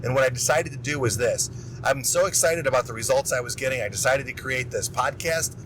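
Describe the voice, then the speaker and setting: Hoarse-voiced man hosting a motivational podcast. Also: medium pitch, a little fast, noisy background. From Illinois. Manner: energetic, rambling, self-interrupting. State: losing his voice. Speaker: podcast host. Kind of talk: casual motivational monologue.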